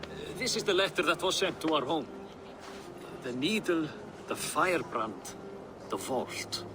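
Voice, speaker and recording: An actor playing a cautious, burdened old Norse warrior in a dramatic video game. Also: male voice, fairly high pitch, sing-song, measured pace, over music. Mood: wary, troubled.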